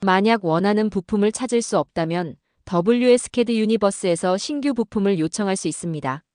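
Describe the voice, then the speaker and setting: A woman reading scripted software tutorial narration in a formal, instructional way. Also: medium pitch, brisk, dry recording, clean studio sound. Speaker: webinar narrator.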